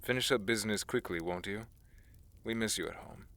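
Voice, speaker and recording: A man's voice: fairly low pitch, fairly quick, creaky, a bit bright. A man playing a stern, protective royal brother in a fantasy audio drama, curt and threatening.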